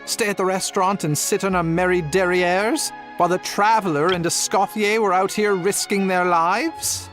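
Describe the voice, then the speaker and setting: A man playing a cheeky, loyal young restaurant worker in a game, with a wry, expressive delivery. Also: high voice, normal speed, few pauses, soft background music. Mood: indignant. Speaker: voice actor. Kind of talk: game cutscene acting.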